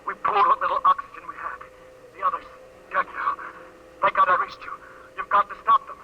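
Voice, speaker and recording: A man's voice: high-pitched, level tone, a little fast, slight background noise. An actor playing a desperate, out-of-breath male scientist calling Earth over a faint radio link from the moon, in 1950s radio drama style. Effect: distant radio transmission. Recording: muffled old radio broadcast.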